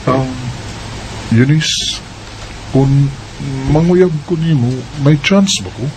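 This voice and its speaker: A man's voice: mid-range voice, slow pace, noisy background, close to an everyday voice. A young man acting the lead in a homemade radio drama, playful and laughing when courting, earnest when troubled.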